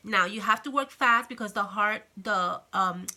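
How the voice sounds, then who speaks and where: Bright-voiced woman with a slight cold. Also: mid-range voice, normal speed. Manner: chatty, friendly, many fillers and "okay?" check-ins. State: has a cold, slightly raspy. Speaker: craft channel host. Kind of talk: casual crafting tutorial.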